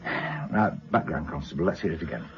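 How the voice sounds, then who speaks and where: An actor playing a dry, sardonic middle-aged British police inspector who questions in short, probing bursts in a radio drama. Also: male voice, a bass voice, expressive, fairly quick. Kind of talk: radio drama acting, interrogation dialogue. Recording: slightly dull, muffled radio recording.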